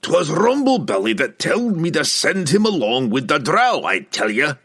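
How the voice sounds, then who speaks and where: A man's voice: on the high side, sing-song, normal speed, fairly bright tone, slightly rough, voice pushed up, heavily acted. A man voicing a flustered, stubborn old dwarf king with a blustering manner and rustic dialect in an audiobook. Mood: blustering, defensive.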